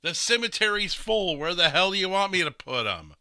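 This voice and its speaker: A man's voice: high-pitched, sing-song, a little fast, sharp tone, clear, resonant tone. A man hosting a podcast, chatting casually as he reviews past game episodes. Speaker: podcast host and streamer.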